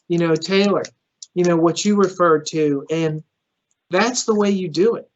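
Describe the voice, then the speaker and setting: A man's voice: high voice, measured pace, highs cut off, slight background noise. A middle-aged man whose first language is Greek, a county manager answering questions at a public forum in an earnest way that repeats words as he starts phrases.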